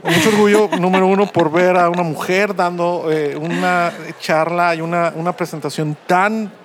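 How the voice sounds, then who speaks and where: A man interviewing a guest in a casual tech chat, talking haltingly with many restarts and fillers. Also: high voice, fairly even intonation, a crowd in the background.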